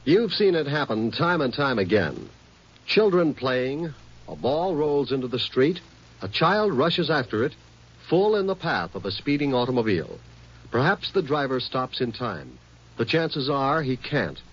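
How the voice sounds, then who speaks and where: A man's voice: mid-range voice, sing-song, a little slow, husky. A man reading a scripted public safety announcement on 1950s radio in an earnest, emphatic way. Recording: muffled old 1950s radio recording.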